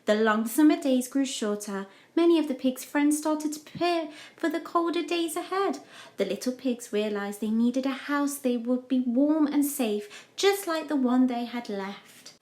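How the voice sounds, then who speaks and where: High-voiced woman storyteller in echoey room. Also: lively intonation, a little mellow. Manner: deliberate, emphatic phrasing for young listeners. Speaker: storyteller, children's teacher. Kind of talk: expressive children's storybook reading.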